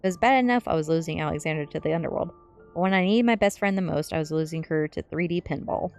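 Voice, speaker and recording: A woman narrating a teen story aloud in an expressive audiobook style. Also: deep voice, fast, clear, resonant tone, faint music behind. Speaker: streamer reading a story aloud.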